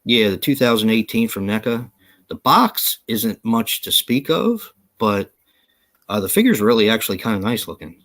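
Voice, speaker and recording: Low-voiced man chatting on a live show. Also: lively intonation, normal speed, a bit bright. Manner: rambling, hesitant with many fillers; laughs easily. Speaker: podcast co-host. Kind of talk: casual live-stream chat. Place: home.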